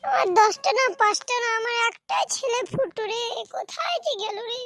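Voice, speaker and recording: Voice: extremely high pitch, lively intonation, a bit bright, slight background noise, heavily acted. A performer voicing a grieving village mother in a comic cartoon, wailing and reproachful. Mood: wailing grief. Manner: wailing, drawn-out lamenting and reproachful. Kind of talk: amateur comic cartoon dubbing.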